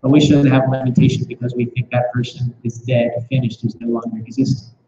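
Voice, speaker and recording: A man's voice: mid-range voice, level tone, fairly quick, background noise. A man leading a scripture class with questions, speaking reflectively and often restarting with repeated words. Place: temple class room. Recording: echoey muffled room recording.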